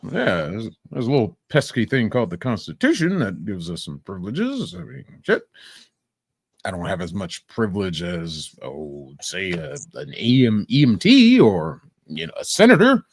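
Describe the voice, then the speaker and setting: A man's voice: lowish voice, huge pitch swings, normal speed, vocal fry, husky, no room sound. A man hosting a livestream with casual reaction commentary, mocking, incredulous and profane in his delivery. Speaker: livestream host.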